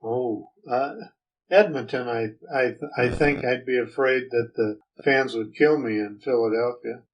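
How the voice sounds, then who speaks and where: An older man giving casual answers as a guest, speaking in a hesitant, measured way. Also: mid-range voice. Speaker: guest, the hosts' father. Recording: dull lo-fi sound, faint music.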